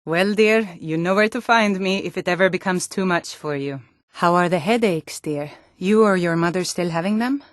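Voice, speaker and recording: A woman's voice: on the low side, moderate pace, dry recording, studio quality, close to an everyday voice. A woman voicing a hardy, forthright Nord townswoman and guard in a fantasy video game, speaking in an earnest, firm, plain-spoken way. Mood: gentle, caring.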